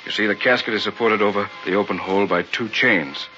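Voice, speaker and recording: A man's voice: fairly low pitch, a little fast, lightly acted. An actor playing a pompous, evasive carnival showman and hypnotist in a radio horror drama. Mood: businesslike, careful. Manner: grandiose barker patter, curt when challenged. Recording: old muffled radio recording with music.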